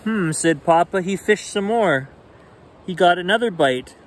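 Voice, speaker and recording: A man with a high voice reads a children's story aloud at a slow, deliberate pace. He is expressive and keeps the phrasing simple for young listeners. He is recorded outdoors, with faint ambient noise around him. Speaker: storyteller reading children's bedtime stories. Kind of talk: expressive read-aloud of a picture book. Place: outdoors on a rainy forested shore.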